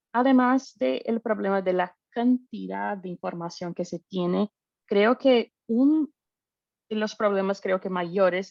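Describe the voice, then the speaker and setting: Woman, gravelly edge, hesitant webinar panelist, muffled dry call audio. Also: medium pitch, darkish tone, no room sound. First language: not Spanish. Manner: hesitant, searching for words. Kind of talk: webinar talk. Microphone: video call.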